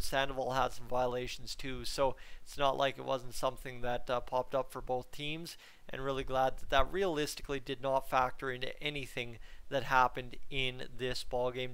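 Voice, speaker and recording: A young man co-hosting a baseball recap over a video call from home, explaining in a measured way with frequent fillers. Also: mid-range voice, a bit bright, clean tone, low-quality recording. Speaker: baseball podcast co-host. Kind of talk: casual post-game analysis.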